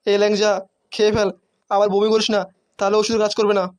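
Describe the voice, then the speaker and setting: A man's voice: sits high, monotone, quick pace, lightly acted. A man voicing a worried, fretful young fellow in a comic cartoon, full of questions. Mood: worried, impatient. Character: worried young male friend waiting anxiously.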